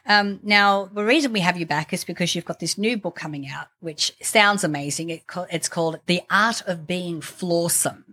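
Low-voiced woman podcast host. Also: moderate pace, hardly any pauses, bright, forceful tone. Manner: friendly, chatty, laughs often. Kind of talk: podcast intro and interview.

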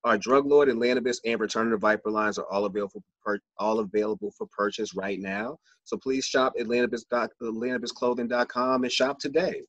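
A man's voice: lowish voice, steady tone, a little fast, hardly any pauses, a bit bright, no room sound, lo-fi sound. A man hosting a casual podcast interview, chatty and upbeat with loose restarts. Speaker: podcast host.

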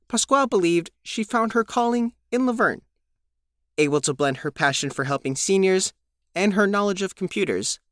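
A man's voice: a little high, a bit bright, dry recording, studio quality. A man narrating a scripted news feature as a reporter.

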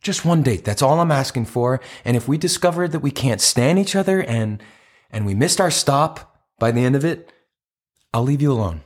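An actor plays a persistent romantic man with a breathy, husky edge to his voice. He sounds sincere and imploring. The acting is natural and intimate, as in an audio play. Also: mid-range voice, expressive, normal speed, natural voice. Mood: hopeful, soft. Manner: sincere, pleading.

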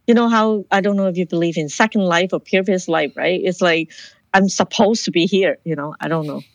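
A woman's voice: fairly low pitch, fairly quick, no echo. A woman from Hong Kong, a guest in an interview who tells her life story in an earnest, reflective way and often restarts her sentences. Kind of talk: personal life story in conversation.

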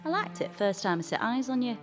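An actress playing a cheeky, earthy 1820s coaching guard, blunt and familiar in a period audio drama. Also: female voice, a little high, big pitch swings, fairly quick, over music. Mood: chummy, teasing.

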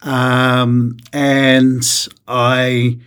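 A man in his forties from New Zealand with a New Zealand accent, a chef talking casually in an interview, pausing often with fillers and restarts. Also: mid-range voice, monotone, fairly bright tone, clean tone. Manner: hesitant, many uh fillers and restarts.

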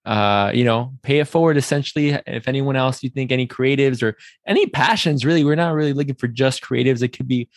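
A man's voice: mid-range voice, a little fast, few pauses, no room sound, studio quality. A man hosting a casual podcast interview, chatty and playful, wandering through his questions. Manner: playful, joking, rambling questions.

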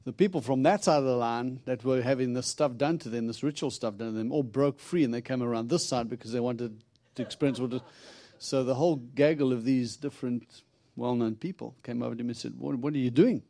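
Middle-aged South African-born preacher, Texan accent, humorous storytelling sermon, dry close sound. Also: male voice, medium pitch, a little fast, hardly any pauses, warm tone, no echo. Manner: chatty and humorous, acting out dialogue with God. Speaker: visiting preacher. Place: church.

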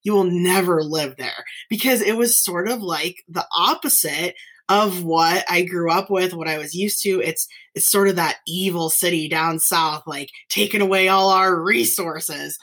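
A woman hosting her own podcast talks in a slightly low voice, loose and chatty. She laughs often and trips over her words, restarting sentences with fillers as she goes. She grew up in northern Nevada. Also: measured pace, a bit bright. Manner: chatty, giggly, frequent fillers and self-corrections. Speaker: podcast host, teacher. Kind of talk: casual solo podcast chat.

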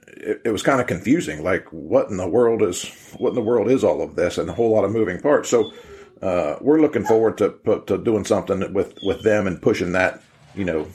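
A man's voice: on the low side, a little mellow. An American man talking informally on a podcast as a training program leader, explaining in a conversational, rambling way and repeating phrases as he goes.

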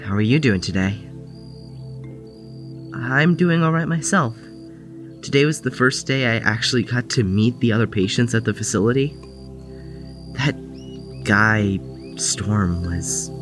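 A man playing a guarded, anxious young patient in an audio drama, with a halting, reserved manner. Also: lowish voice, natural voice. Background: music.

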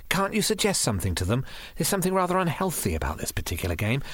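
Casting: male reader playing a well-mannered, anxious storybook woman, high voice, confiding tone. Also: wildly swooping pitch, quick pace, breathy edge, dry recording, heavily acted. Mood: uneasy confiding. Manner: polite, confiding. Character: genteel, uneasy woman, chatty and confiding.

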